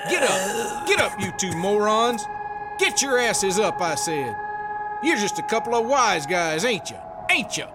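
A bullying prison sergeant for an audio drama. He sneers and barks at a high pitch with big swings in tone. Loud noise rumbles behind him. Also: big pitch swings, unhurried. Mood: angry, barking. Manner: barking, taunting.

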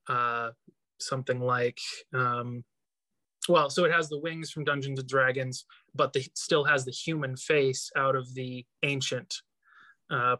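A man's voice: mid-range voice, bright, forceful tone, no room sound. A man being interviewed as an author, explaining things carefully and hesitantly.

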